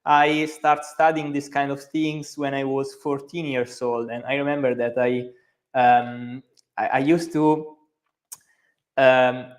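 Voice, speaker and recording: A man's voice: mid-range voice, fairly even intonation, slow pace. A man in his thirties with a strong Italian accent, a native Italian speaker hosting a live stream as a company co-founder, talking with many fillers and restarts. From Italy.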